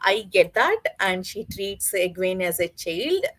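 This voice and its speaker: A woman talking casually about books as a panelist, in a hesitant, halting way with pauses. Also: mid-range voice, sing-song, a bit bright, no room sound. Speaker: book club panelist. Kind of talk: casual book discussion.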